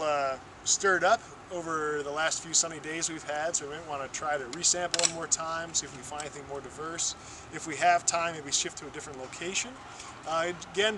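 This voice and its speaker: A young American man giving an explanatory training talk in a halting way, as an instructor in the field. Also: a little high. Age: young adult. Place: outdoors on a wooded riverbank. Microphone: camera mic a few steps away. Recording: distant camera mic, noisy outdoor ambience.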